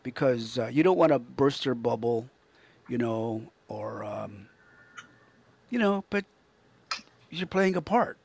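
A man's voice: medium pitch, expressive, takes it slowly, gravelly, pauses often, a little mellow, breathy edge. An older man who performs as Santa, chatting casually and earnestly in a rambling way, often repeating his words. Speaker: professional Santa Claus performer, interview guest. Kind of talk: casual anecdotal chat.

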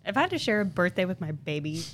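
A woman's voice: on the low side, sing-song, fast, slight background noise. Chatty young woman podcast host. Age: 20s. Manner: chatty, giggly, lots of filler words. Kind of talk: casual chat.

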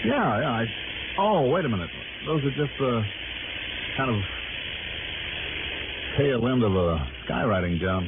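A man's voice: mid-range voice, animated, unhurried, long pauses, very noisy. An actor playing a cool, businesslike male investigator in a 1960s radio detective drama, dry and matter-of-fact in dialogue and narration. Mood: distracted. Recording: narrow-band old radio broadcast with music.

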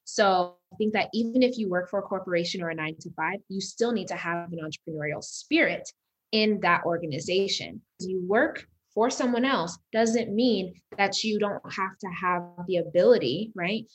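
A woman's voice: medium pitch, normal speed, slightly rough, low-quality recording. A woman from Uganda, raised in the US, speaking as an upbeat, motivational guest on a casual podcast interview, often laughing. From Uganda, raised in Las Vegas. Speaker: guest entrepreneur.

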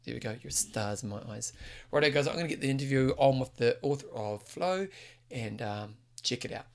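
A man's voice: mid-range voice, expressive, fairly quick, creaky. A man hosting a podcast, talking in an upbeat, informal way and calling his listeners team. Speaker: podcast host. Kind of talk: casual podcast interview.

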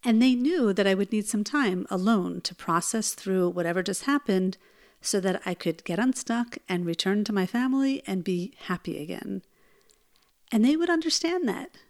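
A woman hosting her own podcast, speaking in a gentle, earnest way and telling personal stories. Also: mid-range voice, expressive, moderate pace. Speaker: podcast host. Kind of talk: solo podcast talk.

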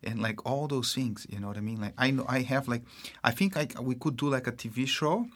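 A man from Brazil with a Brazilian accent, a marketing executive speaking as an interview guest in a halting way, full of fillers and restarts. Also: mid-range voice. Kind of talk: casual interview talk.

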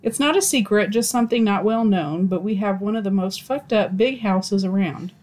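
Woman, casual co-host, reads stories aloud with dry, deadpan wit. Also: mid-range voice, moderate pace. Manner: wry, deadpan asides and swearing. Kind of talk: casual chat reading posts aloud.

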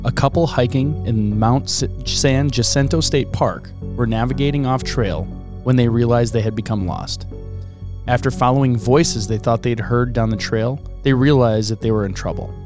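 A man's voice: mid-range voice, moderate pace, music in the background. A man co-hosting a podcast in casual chat, jokey and upbeat. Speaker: podcast co-host.

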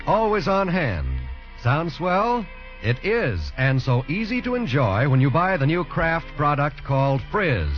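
Animated American man in vintage radio commercial. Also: a little high. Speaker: commercial announcer. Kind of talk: 1940s radio advertising pitch. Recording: muffled 1940s radio recording.

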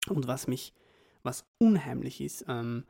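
Young Austrian podcaster, creaky voice. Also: mid-range voice, expressive, unhurried, mellow. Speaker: podcast host. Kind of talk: casual podcast chat.

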